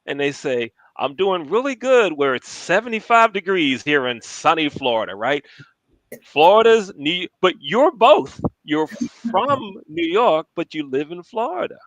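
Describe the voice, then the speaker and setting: A man's voice: fairly high pitch, sing-song, a little slow, a bit bright, no echo, poor audio. A man hosting a conversational interview, repeating himself for emphasis and often checking that the listener follows. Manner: emphatic repetition, checks in with "right?". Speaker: interview host.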